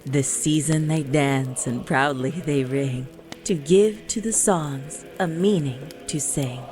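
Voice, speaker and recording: A woman narrating a rhymed Christmas story in verse with a measured, lilting delivery. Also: deep voice, a little mellow. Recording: music bed under the voice. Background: music.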